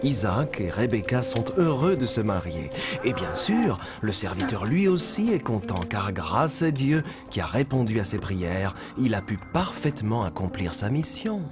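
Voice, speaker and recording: A man playing a kindly storytelling mentor in a children's cartoon dub, with measured, instructive delivery. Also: fairly low pitch. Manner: measured, expressive, instructive narration. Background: music behind the voice.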